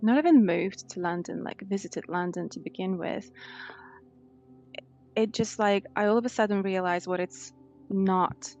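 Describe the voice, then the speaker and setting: A woman's voice: mid-range voice, unhurried, a little mellow, lo-fi sound, soft background music. A woman in her thirties with a Russian accent, answering conversationally as a life coach in an interview. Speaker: life coach, podcast guest.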